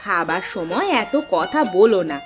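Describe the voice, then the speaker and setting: A woman voicing a practical, reassuring village housewife and mother in a cartoon, calm and homely in manner. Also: lowish voice, unhurried. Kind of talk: cartoon voice acting. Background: plucked mandolin music.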